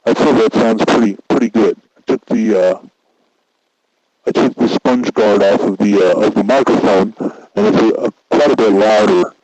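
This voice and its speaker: A man's voice: on the low side, normal speed, a little mellow, dry recording, rough recording. A man doing a casual tech demonstration and mic test, his voice sent through a voice changer and speaker. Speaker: tutorial host testing a voice changer.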